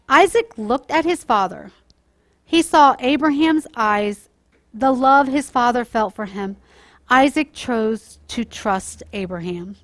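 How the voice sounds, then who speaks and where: A woman telling a Bible story to children, explaining it simply and deliberately as a church storyteller. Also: high voice, fairly bright tone, no echo. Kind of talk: read-aloud children's story with informal moral teaching.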